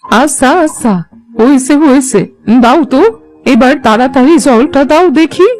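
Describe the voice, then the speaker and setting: A woman's voice: sits high, normal speed, a little mellow, lightly acted. A woman playing a doting old village grandmother in a cartoon, fond and a little bossy with her family, with music underneath. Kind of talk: Bengali cartoon dialogue.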